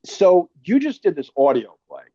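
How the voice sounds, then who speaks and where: A man's voice: high voice, huge pitch swings, normal speed, mellow, slightly rough, dry recording, highs cut off. A man speaking as a podcast host in casual, emphatic interview chat, full of fan enthusiasm and loose swearing.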